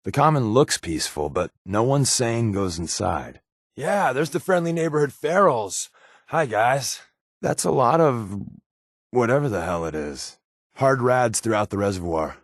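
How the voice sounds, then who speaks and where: An American actor playing a sardonic, wisecracking secret agent companion in a video game, with a wry, offhand delivery. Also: male voice, medium pitch, lively intonation, unhurried, no echo, studio quality. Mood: sarcastic.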